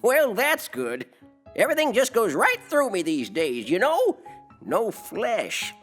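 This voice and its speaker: Chatty, swooping, spooked ghost. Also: female voice, mid-range voice, wildly swooping pitch, takes it slowly, faint music behind. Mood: puzzled, jokey. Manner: colloquial, exclamatory, puzzled. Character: spooked, chatty, jokey ghost being interviewed. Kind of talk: audio drama character acting.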